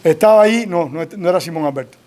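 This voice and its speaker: A man with a Cuban accent speaks in a notably high voice. He fields audience questions at a book launch, telling stories at a measured, firm pace. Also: lively intonation, a little mellow. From Cuba. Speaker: author presenting his book.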